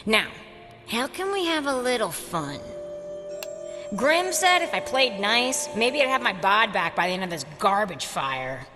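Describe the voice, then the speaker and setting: A woman's voice: medium pitch, very animated, unhurried. An actress playing a sassy, flirtatious gorgon woman in a video game, with a teasing, sarcastic, brash delivery. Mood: brash, playful. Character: sassy, flirtatious snake-haired gorgon woman without a body. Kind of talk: video game character acting. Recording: long reverb, faint music underneath.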